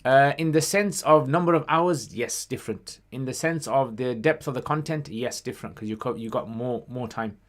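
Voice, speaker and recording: A man's voice: medium pitch, normal speed, few pauses. A man hosting a casual livestream Q&A, reading out questions and answering conversationally, with Arabic phrases sprinkled in.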